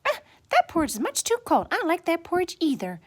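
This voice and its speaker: Woman doing a high-pitched, fussy little storybook girl. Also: wildly swooping pitch, quick pace, full character voice. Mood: fussy complaining. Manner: exclaiming, fussy, singsong complaints. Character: little girl Goldilocks, hungry, fussy, easily tired. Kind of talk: children's storybook character voice.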